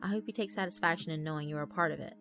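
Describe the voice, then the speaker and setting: A woman plays a slick male corporate assistant in a story reading. He is polite, confident and businesslike, with insincere courtesy. Music runs softly underneath. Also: sits low, racing. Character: smooth, formal, insincere male company assistant.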